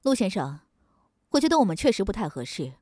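Husky, mellow young woman played by an actress. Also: medium pitch, very animated, brisk, no echo, studio quality. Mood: cold, polite. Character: polite, dissatisfied young woman on blind date. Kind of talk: Chinese audio drama acting.

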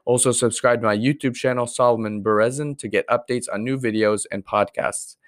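A man's voice: mid-range voice, steady tone, a little fast, no echo, clean studio sound. A man hosting a podcast, speaking earnestly and reflectively, with many fillers when he puts questions to a guest. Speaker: podcast host. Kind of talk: podcast intro and interview.

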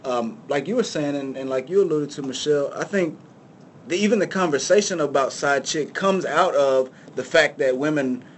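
A man's voice: fairly high pitch, normal speed, slight background noise. A man co-hosting a casual talk show, rambling with lots of restarts. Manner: rambling, many restarts and fillers. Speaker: co-host. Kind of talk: casual chat.

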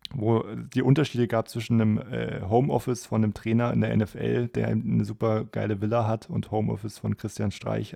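Man, mellow husky voice, creaky, casual football podcast chat, hesitant phrasing. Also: on the low side, no echo, clean studio sound. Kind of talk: casual conversational football discussion.

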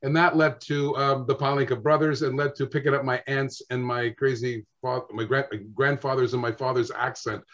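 Animated male storyteller on dull audio. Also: medium pitch, brisk, few pauses. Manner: animated storyteller, acts out dialogue. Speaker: guest. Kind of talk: casual storytelling chat.

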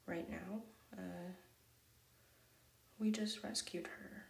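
A woman's voice: on the low side, fairly even intonation, drawn-out words, gravelly, echoey room, distant mic, heavily acted. A woman whispering the lines of an exasperated man who runs a burger place in a cartoon story.

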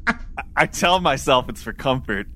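A man's voice: mid-range voice, animated, moderate pace, pushed and bright, a little hiss or hum. A man co-hosting a podcast, talking casually about a book in a candid, self-deprecating, rambling way. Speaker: podcast co-host. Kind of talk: casual book discussion chat.

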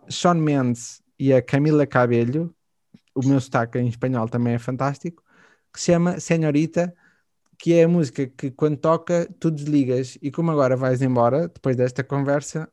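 A man's voice: medium pitch, mellow, no echo. A man with a European Portuguese accent hosting a radio interview, playful and joking. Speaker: radio show host. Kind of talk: casual radio interview chat.

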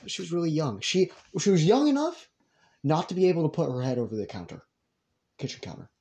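A man's voice: a little high, very animated, brisk, warm tone. A young man in casual group conversation, speaking in an emphatic, opinionated way.

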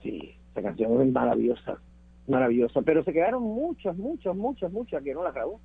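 A man's voice: a little high, expressive, fairly quick, creaky, slightly rough, no echo, narrow, tinny audio. A man with a Cuban accent reminiscing as an interview guest, emphatic and repeating words for effect. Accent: Cuban Spanish. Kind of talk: reminiscing conversation.

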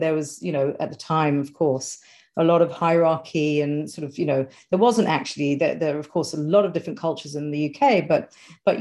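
A British woman in her forties, born in London, answering interview questions in a thoughtful, measured way with some restarts. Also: low voice, warm tone. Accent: British English. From England. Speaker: guest. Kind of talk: interview conversation.

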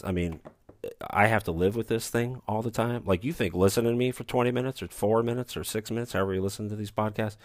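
A man with a very low, soothing voice hosts a casual solo podcast, drifting from one aside to the next with dry, self-mocking humor. His speech is relaxed and meandering. The sound is close and dry, with almost no room around it. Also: dry recording. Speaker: podcast host. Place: recording remote, away from studio.

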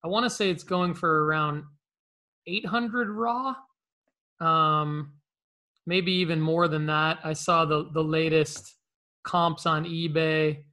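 A man hosting a casual collectibles show, explaining things in a rambling, halting way. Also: on the high side, steady tone, bright, forceful tone. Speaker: show host. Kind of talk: casual, conversational collectibles talk.

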